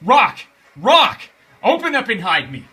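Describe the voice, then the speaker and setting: Man voicing an urgent, high-pitched young hero. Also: huge pitch swings, slow pace, bright, forceful tone, heavily acted. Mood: desperate. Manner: exclaiming, urgent. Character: young Maori folk hero, urgent and bold.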